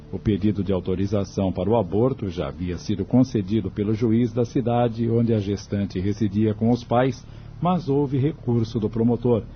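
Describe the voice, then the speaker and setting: A Brazilian man reading scripted narration for an audio drama. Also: fairly low pitch. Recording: muffled lo-fi audio. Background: music.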